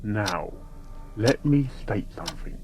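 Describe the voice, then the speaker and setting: Man voicing earnest low-voiced stallion detective-doctor, noisy recording. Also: expressive, slow pace. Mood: reassuring, firm. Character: earnest, probing stallion doctor investigating a dark case. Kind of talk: dramatic fan fiction character reading.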